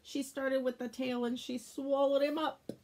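A woman in her thirties reading a picture book aloud to children, expressive, with exclamations and chatty explanatory asides. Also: sits high, measured pace, low-quality recording.

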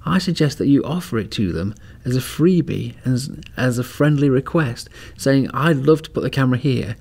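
A man's voice: mid-range voice, lively intonation, normal speed, vocal fry, darkish tone, slightly rough. A man hosting a podcast, chatting casually as he walks through a home security camera demonstration and gives advice. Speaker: podcast host. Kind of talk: casual product demo and advice talk. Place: outdoors at home driveway, then indoors.

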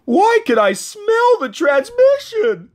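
A man's voice: very high voice, huge pitch swings, slow, heavily acted. A man playing an anxious, earnest human boy in a cartoon comic dub, with a dramatic delivery. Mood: horrified.

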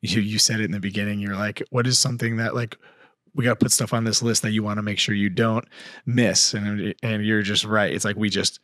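Guy in his 30s on a podcast, lowish voice, rambling stories, dry mic. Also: fairly quick, no echo. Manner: animated storyteller, trails off and restarts, laughs. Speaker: guest. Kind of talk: casual podcast chat. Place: dim studio set on a couch. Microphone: boom mic, headphones.

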